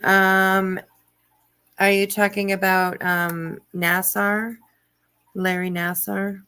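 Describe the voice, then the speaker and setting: A middle-aged woman hosting a livestream, chatting casually and answering viewer comments in a halting way with frequent pauses. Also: mid-range voice, little pitch movement, pushed and bright, clean tone, no room sound. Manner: halting, pausing often mid-sentence. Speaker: livestream host.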